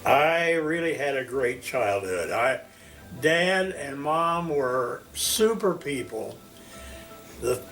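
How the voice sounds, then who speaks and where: A man of one hundred from Indiana, recalling his life as a teacher in a slow, storytelling interview. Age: 100s. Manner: slow, storytelling, animated pitch swings. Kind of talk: reminiscing interview.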